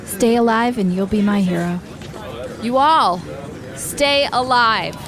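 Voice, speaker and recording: A woman's voice: a little high, expressive, takes it slowly, background noise. A woman playing a tough, guarded commander of a survivor militia in a radio drama, speaking tersely and with command. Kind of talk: post-apocalyptic radio drama acting.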